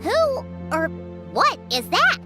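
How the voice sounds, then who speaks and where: A woman voicing a tiny, childlike fairy companion in a video game, squeaky, excitable and full of baffled questions. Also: slow, heavily acted. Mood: puzzled. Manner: exclamatory, questioning. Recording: loud music under the voice.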